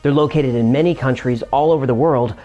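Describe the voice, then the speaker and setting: A man's voice: medium pitch, brisk, mellow, lo-fi sound. A man hosting a scripted explainer, narrating it in a conversational way with room for comic banter. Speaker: host.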